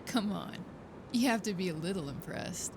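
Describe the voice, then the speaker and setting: An actress playing a cocky, foul-mouthed zombie fighter, brash and irreverent, in an audio drama. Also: female voice, on the low side, expressive, moderate pace, gravelly. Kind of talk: dramatised comic voice acting. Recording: loud music under the voice.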